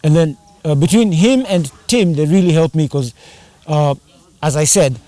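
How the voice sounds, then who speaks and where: A man's voice: fairly high pitch, normal speed, dark tone, dry recording. A man chatting casually in an interview, rambling and slipping into Swahili slang. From Kenya. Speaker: band singer and drummer.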